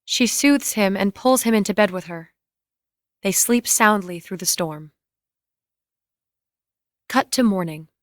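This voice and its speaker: A woman's voice: mid-range voice, expressive, measured pace, pauses often, dry recording. A woman reading scripted scene directions in a plain, clipped narrating style, close on the microphone. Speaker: reader of stage directions.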